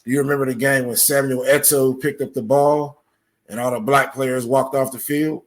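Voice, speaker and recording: A man's voice: medium pitch, steady tone, moderate pace. A man speaking as a podcast guest, explaining his points step by step with examples in conversation.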